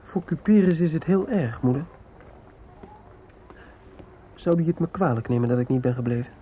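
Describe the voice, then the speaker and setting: A man's voice: a little high, lively intonation, fast talker, warm tone, close to an everyday voice. A male actor playing a polite, earnest young man and dutiful son in a radio play, hesitant and apologetic. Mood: troubled, polite. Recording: noisy old radio play recording.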